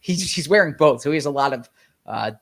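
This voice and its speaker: A man co-hosting a sports podcast in casual chat, slightly nasal from a cold, talking haltingly with many restarts. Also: on the high side, animated, a bit bright.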